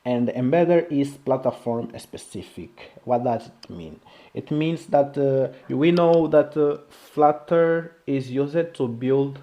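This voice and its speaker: A man with a non-native English accent giving an informal technical tutorial in a halting, repetitive way, often checking in with a short question. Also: mid-range voice, dark tone. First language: not English. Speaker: programming video creator.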